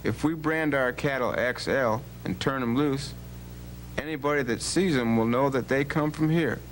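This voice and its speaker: A man's voice: medium pitch, moderate pace, close to an everyday voice, low-quality recording. A man playing a bold, plain-spoken legendary cowboy rancher in an educational radio drama, with a folksy, authoritative manner. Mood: confident, commanding. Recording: hum and faint background noise.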